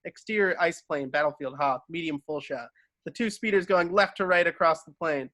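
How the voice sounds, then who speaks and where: A man's voice: sits high, fairly bright tone, no echo. A man reading stage directions as scripted narration, giving scene headings in clipped fragments.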